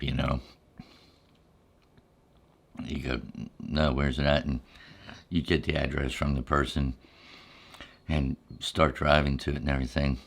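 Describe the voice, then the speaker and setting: A middle-aged or older American man with a deep, low voice, reminiscing casually in a laid-back, rambling ASMR storytelling style with frequent pauses.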